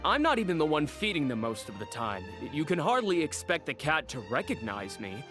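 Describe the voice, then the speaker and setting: A man's voice: a little high, sing-song, fairly quick, over music. An actor playing an evasive, polite male animal shelter director in a video game. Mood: defensive, explaining. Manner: hesitant, explaining, polite. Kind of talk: English game dub dialogue.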